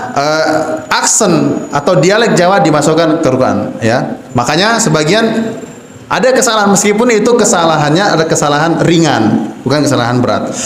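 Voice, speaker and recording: A Javanese man gives a recitation lesson to imams and preachers, asking rhetorical questions and explaining patiently. His voice sits a touch high and moves slowly. The sound is roomy, with a faint hum behind it. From Java, Indonesia. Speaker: Quran recitation teacher. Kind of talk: teaching imams and preachers, with sung Quran recitation examples. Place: mosque hall. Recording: echoey mosque hall, faint background hum.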